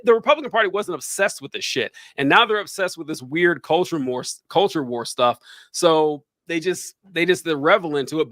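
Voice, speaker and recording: A man's voice: on the high side, a bit bright, no echo, clean studio sound. A man co-hosting a political podcast, talking bluntly and animatedly and swearing freely. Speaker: podcast co-host. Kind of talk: casual political podcast chat.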